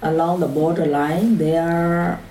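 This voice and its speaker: Low-voiced Burmese-accented woman in an echoey room. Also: fairly even intonation, slow pace, dark tone. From Burma, Karen community. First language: Karen. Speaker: interview guest. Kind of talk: personal life-story interview. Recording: roomy echo, distant mic, faint noise.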